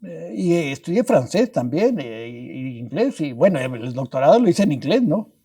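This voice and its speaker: A man's voice: fairly high pitch, sing-song, moderate pace, dark tone. An older man from Mexico with a Mexican Spanish accent, telling stories as a guest scientist in an interview, restarting words and adding tag questions as he goes. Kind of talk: anecdotal storytelling in a podcast interview.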